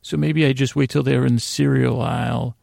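A man hosting a solo bedtime podcast in a meandering, halting monologue, often interrupting himself and drifting into asides. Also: mid-range voice, fairly even intonation, darkish tone, dry recording, clean studio sound. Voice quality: gravelly, creaky. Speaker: podcast host.

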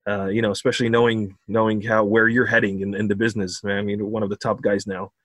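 A man's voice: on the low side, fast, no room sound, faint music behind. Man with an American accent. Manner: relaxed, laughs often, repeats words. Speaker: bodybuilding coach and guest. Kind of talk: casual podcast interview.

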